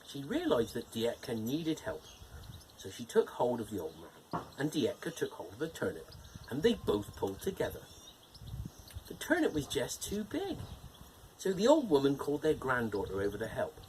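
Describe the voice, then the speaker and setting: A man's voice: medium pitch, moderate pace, slight background noise, poor audio. A man playing a cheerful, playful male gnome who hosts and reads a bedtime fairytale. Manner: animated, playful storytelling with teasing jokes. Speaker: storyteller host.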